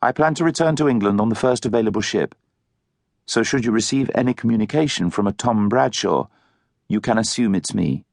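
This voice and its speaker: A man's voice: lowish voice, fairly quick, creaky, darkish tone, no echo, clean studio sound. A man voicing an earnest, polite young Englishman, speaking formally and articulately in an audiobook novel. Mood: heartfelt, solemn.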